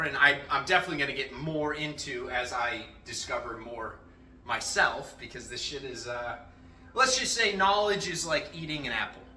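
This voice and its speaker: Young male vlogger, high, very bright voice, profane and animated, with faint music behind. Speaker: motivational vlogger. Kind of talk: casual motivational talk. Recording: echoey room, faint music.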